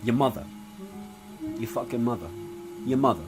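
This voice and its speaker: A man playing a crude, foul-mouthed old Italian-American mobster who belittles people and rambles with sudden loud outbursts. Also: medium pitch, animated, normal speed, pitched below the performer's own voice, natural voice. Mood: brooding. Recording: music playing clearly behind.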